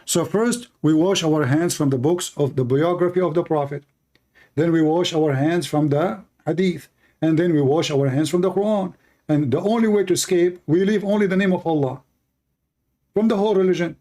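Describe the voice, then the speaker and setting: A man's voice: fairly high pitch, normal speed, mellow. A man whose first language is Arabic, hosting a polemical religious commentary in emphatic, repetitive English. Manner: emphatic, repetitive, non-native phrasing. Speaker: religious debate host.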